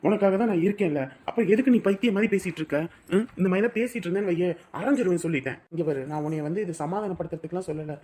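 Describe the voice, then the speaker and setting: A young man, as an actor plays him, reassures his partner in quick, forceful bursts. He is brash and protective, scolding her while promising to stand by her. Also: sits high, lightly acted. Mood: reassuring. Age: young adult.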